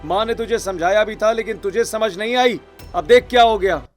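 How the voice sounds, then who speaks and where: A man voicing an angry, accusing young brother in an animated family melodrama, forceful and taunting. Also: high-pitched, moderate pace, soft background music, pitched above the performer's own voice, natural voice.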